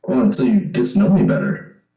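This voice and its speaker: A man's voice: mid-range voice, wildly swooping pitch, brisk, dark tone. A man playing a bashful, awkward teenage son in an old-time radio drama, stammering and hesitant, with boyish slang. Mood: nervous, hesitant. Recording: roomy, echoing stage-like sound.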